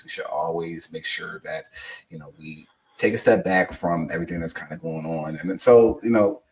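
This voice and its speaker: Thirties male podcast co-host, low voice, rambling filler-heavy delivery, slightly distant mic. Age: 30s. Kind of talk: casual podcast chat.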